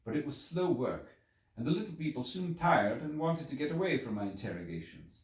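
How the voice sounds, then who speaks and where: A man reading a literary story aloud as an audiobook narrator, with an expressive, measured, theatrical delivery and deliberate pauses. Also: a little high. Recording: roomy echo, distant from microphone.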